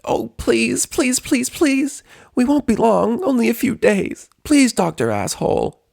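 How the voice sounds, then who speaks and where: A man's voice: very high-pitched, big pitch swings, creaky, darkish tone, dry recording, clean studio sound, pitched above the performer's own voice, exaggerated voice. A man voicing a bubbly young female cartoon pony who pleads in a gushing, insistent way. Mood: desperate pleading. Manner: gushing, rapid repeated pleading.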